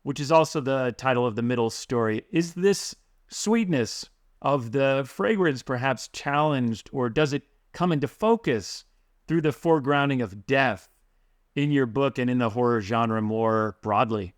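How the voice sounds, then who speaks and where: Male podcast co-host, even mid pitch, dry close sound. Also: unhurried, dry recording. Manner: reads the intro crisply, then chats with fillers. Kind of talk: scripted intro, then casual chat.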